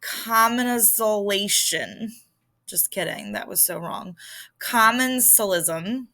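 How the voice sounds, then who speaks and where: A woman's voice: mid-range voice, slow, bright, forceful tone. A woman with a Philadelphia accent giving an informal lesson as a teacher, explaining things conversationally and speaking directly to students. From Philadelphia area, United States. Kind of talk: informal recorded vocabulary lesson.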